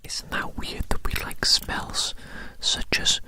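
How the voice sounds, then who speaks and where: Someone performing a whispered ASMR medical roleplay as a doctor, breathy and unvoiced, rambling playfully and repeating phrases. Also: takes it slowly, pushed and bright.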